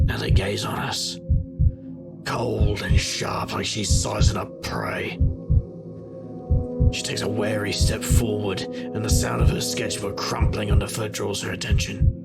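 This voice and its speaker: A man's voice: on the low side, gravelly. A man playing a wry, brooding middle-aged magician detective, narrating an audio drama in first person. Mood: grim.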